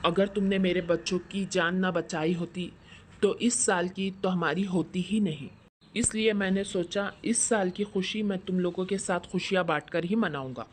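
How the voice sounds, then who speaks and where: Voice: medium pitch, moderate pace, close to an everyday voice. Someone voicing a callous male landlord, faint noise. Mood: warm, generous. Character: callous male shop landlord, later humbled and grateful. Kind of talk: scripted moral-story dialogue.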